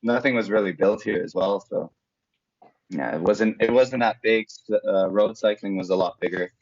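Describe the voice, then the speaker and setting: Deep-voiced Slovak man, halting and conversational. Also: a little mellow. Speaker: former professional road cyclist turned mountain bike racer, interviewee. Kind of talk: casual interview chat. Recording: dull lo-fi audio.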